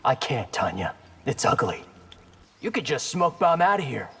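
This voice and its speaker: A man's voice: medium pitch, big pitch swings, moderate pace, vocal fry, fairly bright tone, husky, full character voice. An actor playing a cocky, determined young male warrior in a video game, with a terse, wry and defiant manner. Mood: guarded, wry. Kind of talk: video game hero acting.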